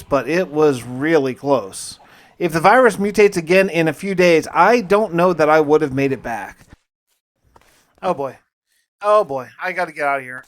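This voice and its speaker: A man with a fairly high-pitched voice narrates a survival game as first-person roleplay. He talks casually and confidingly, as if keeping a personal log, using mild everyday exclamations. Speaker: gamer narrating his survival challenge.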